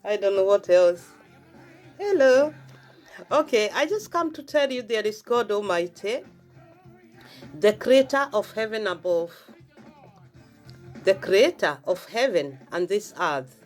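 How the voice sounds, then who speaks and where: A woman's voice: mid-range voice, lively intonation, measured pace, pauses often, soft background music. A woman from Kenya with a Kenyan accent, talking casually about her faith and repeating phrases as she explains herself at length. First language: Swahili. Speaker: vlogger sharing faith. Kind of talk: casual devotional talk. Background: faint gospel song playing.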